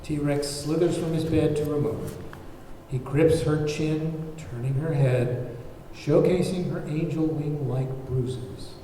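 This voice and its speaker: A man's voice: fairly high pitch, slow, dark tone, distant mic. A man reading scripted stage directions as narrator at a table read, in an echoey, noisy room.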